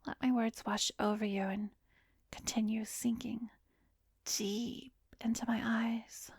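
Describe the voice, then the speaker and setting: A woman playing an arrogant, seductive vampiress who hypnotizes in an ASMR roleplay, breathy and commanding, often slipping into a whisper. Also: on the high side, flat delivery, slow pace, dry recording, clean studio sound, exaggerated voice. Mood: soothing, hypnotic.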